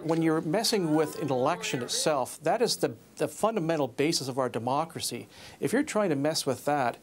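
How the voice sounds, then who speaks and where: A man answering an interview question in halting phrases as a politician. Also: a little high, animated. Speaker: defeated election candidate.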